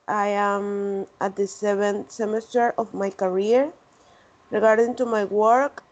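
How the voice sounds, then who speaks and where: A woman in her twenties with a Colombian Spanish accent, introducing herself to her class over a video call in a careful, deliberate way. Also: mid-range voice, darkish tone. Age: 20s. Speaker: student.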